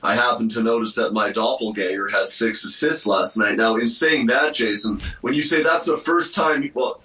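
A man's voice: mid-range voice, normal speed, bright, forceful tone. A man giving conversational sports commentary, chatty and informal, circling back to make his point. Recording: roomy, distant from the microphone.

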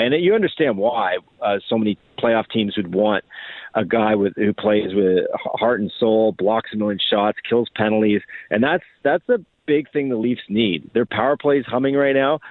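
Over a narrow, phone-like line, a male sports reporter speaks in a slightly low voice. He gives conversational analysis as a guest, with no room echo around him.

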